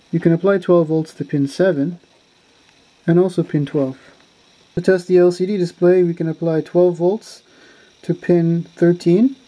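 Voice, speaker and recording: A man calmly narrating a step-by-step electronics repair tutorial in a plain, methodical way. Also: on the high side, rough recording. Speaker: car electronics tutorial maker.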